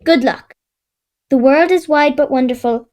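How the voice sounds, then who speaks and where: A woman's voice: sits high, unhurried, darkish tone. A female actor playing a brave young Irish girl in a children's audio drama, telling of her adventures. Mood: earnest, happy.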